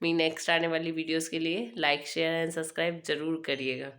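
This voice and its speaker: Chatty young woman, husky from a cold. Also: low voice, flat delivery, normal speed, a bit bright. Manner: chatty, homely, meandering. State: hoarse from a cold. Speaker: cooking vlogger. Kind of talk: casual cooking narration.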